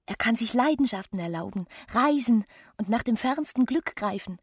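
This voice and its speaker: An actress playing a restless, yearning young wife and mother in a literary radio play, with a breathy voice. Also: fairly high pitch, expressive, moderate pace. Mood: bitter, longing.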